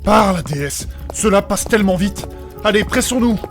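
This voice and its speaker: A man acts as a formal, earnest companion on a fantasy quest. He projects theatrically in a raised male pitch, with old-fashioned urgency. Music plays behind him. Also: animated, normal speed, creaky. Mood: urgent. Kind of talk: theatrical audio fantasy acting.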